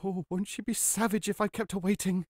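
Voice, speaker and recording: A jumpy storybook rabbit, fretful and always in a hurry. He mutters anxiously in a high voice for a male, recorded dry and close. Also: flat delivery, brisk, no room sound. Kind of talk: full-cast audiobook storybook acting.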